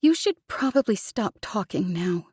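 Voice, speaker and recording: Woman reads as tearful young woman pilot, high, sobbing, breathy, storybook reading. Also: big pitch swings, measured pace, darkish tone, no room sound, studio quality. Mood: dry, weary. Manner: angry, sobbing, swearing. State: crying.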